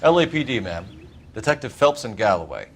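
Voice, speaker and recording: An actor playing a polite, formal 1940s police detective with an American accent in a video game, with clipped, courteous speech. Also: male voice, on the low side, big pitch swings, brisk, a little hiss or hum. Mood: polite, sober. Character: polite, sharp-tongued male 1940s LAPD detective. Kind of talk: naturalistic video game acting.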